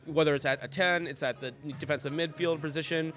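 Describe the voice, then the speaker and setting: A man's voice: fairly high pitch, fairly even intonation, fairly quick, a bit bright. A man co-hosting a sports podcast, rambling casually with lots of fillers, restarts and swearing. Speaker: podcast co-host.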